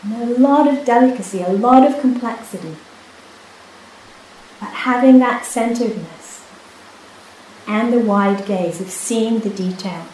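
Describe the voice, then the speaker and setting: Soft-spoken Hebrew-speaking woman teacher, echoey hall. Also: on the high side. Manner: soft-spoken, unhurried, with many pauses and restarts. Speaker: meditation teacher. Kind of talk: dharma talk with personal story. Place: retreat hall. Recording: echoey hall, faint hiss.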